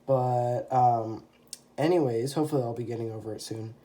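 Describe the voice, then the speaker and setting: Young man, stuffy nose from a cold, mellow tone, chatty and hesitant, phone mic. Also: medium pitch, normal speed. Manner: rambling, many ums and self-corrections. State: congested from a cold. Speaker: toy customizer. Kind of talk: casual show-and-tell. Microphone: phone camera.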